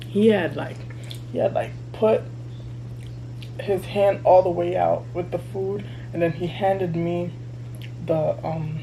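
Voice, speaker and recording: A woman in her thirties talking candidly to her vlog camera while she eats, chatty and relaxed. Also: on the low side, a little hiss or hum, rough recording. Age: 30s. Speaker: vlogger. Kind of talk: casual mukbang vlog chat. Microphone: camera mic.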